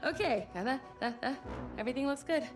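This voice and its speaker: An actress playing a nervous, chatty rookie TV producer who rambles and apologises in a video game. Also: female voice, high-pitched, animated, fairly quick, over music. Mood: nervous, busy. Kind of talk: cinematic video game dialogue.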